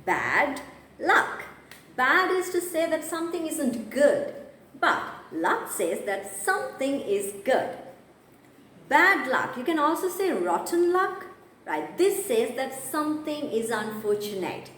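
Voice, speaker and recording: A woman teaches English vocabulary in a slightly high, lively voice. She speaks slowly and repeats each term and example so learners can follow. The room is echoey, with faint ambient noise behind her.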